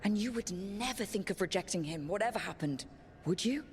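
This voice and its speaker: A woman's voice: mid-range voice, expressive, brisk, darkish tone, natural voice. A woman playing a steadfast, earnest, determined heroine in dramatic video game dialogue. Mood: firm, challenging.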